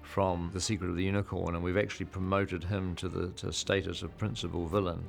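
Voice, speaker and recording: A man's voice: sits low, little pitch movement, a little fast, vocal fry. A man, a filmmaker, explaining choices in a documentary interview in a thoughtful way, with frequent restarts.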